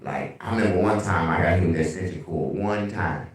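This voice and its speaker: Deep bass man, echoey room. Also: brisk, far from the mic. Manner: animated, profane storytelling. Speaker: livestream host. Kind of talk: casual livestream storytelling. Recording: very echoey room, away from mic.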